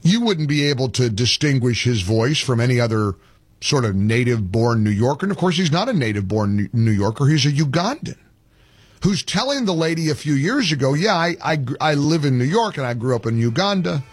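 A man's voice: mid-range voice, very animated, brisk, husky, dry recording. A man hosting a talk radio show, telling a story in a dramatic, incredulous way. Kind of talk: opinionated talk radio monologue.

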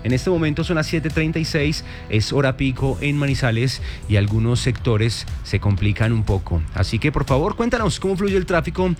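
Man, Colombian accent, radio host, brisk announcing, studio mic, faint music behind. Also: mid-range voice, expressive. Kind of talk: morning radio traffic segment. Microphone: studio microphone.

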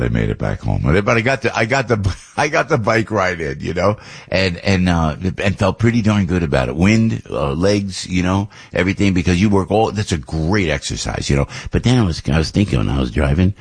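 A man's voice: sits low, breathy edge. An older man hosting a radio talk show, rambling and chatty, laughing often and restarting his sentences.

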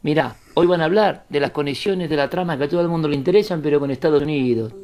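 A man's voice: a little high, quick pace, over music, natural voice. Brash male football idol put on by a man, mock Argentine accent, boastful, crude, eighties, radio comedy. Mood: brash, playful.

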